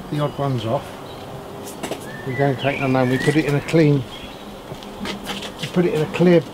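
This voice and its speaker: A middle-aged man casually explaining hands-on beekeeping work as he does it. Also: a little high. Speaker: beekeeper demonstrating his work. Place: outdoors in a wooded apiary. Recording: clear outdoor noise, insects and birds. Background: buzzing bees and birdsong.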